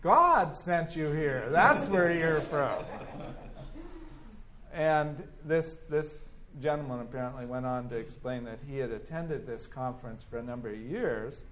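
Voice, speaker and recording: Animated man teaching a church class. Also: medium pitch, measured pace. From California. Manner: conversational, animated, acts out quoted voices, many restarts and fillers. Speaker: Bible teacher. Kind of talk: teaching a class on a biography, reading aloud and commenting.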